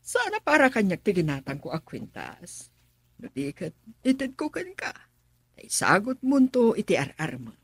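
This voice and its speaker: A woman's voice: medium pitch, wildly swooping pitch, normal speed, slightly rough, no echo, heavily acted. A woman playing a scheming, doting middle-aged mother in a radio drama. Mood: coaxing. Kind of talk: Filipino radio drama acting.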